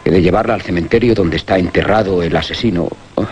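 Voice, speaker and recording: Man acting earnest uneasy guy in spooky radio play, fairly low voice, bit of noise. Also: fast talker, a little mellow, lightly acted. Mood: grave, resolute. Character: earnest, uneasy man caught in a horror mystery. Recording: slightly noisy radio drama recording.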